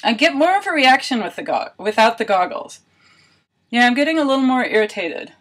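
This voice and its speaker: A woman's voice: fairly high pitch, very animated, fairly quick, a bit bright. A woman video blogger talking casually and thinking aloud, with wry, self-deprecating humour. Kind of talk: casual chatty vlog narration of a homemade experiment.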